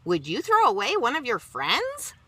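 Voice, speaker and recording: A woman's voice: a little high, huge pitch swings, moderate pace, pushed and bright, a little hiss or hum, pitched above the performer's own voice, exaggerated voice. A woman voicing a patient storybook grandmother who loves worms, explaining things with emphasis. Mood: horrified. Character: grandmother, patient garden teacher fond of worms.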